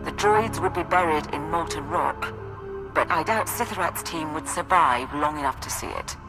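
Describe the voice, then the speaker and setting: A woman's voice: deep voice, normal speed, music in the background, close to an everyday voice. A woman playing a sober imperial military operator in a video game, giving clipped mission updates. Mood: urgent. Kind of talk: scripted video game acting.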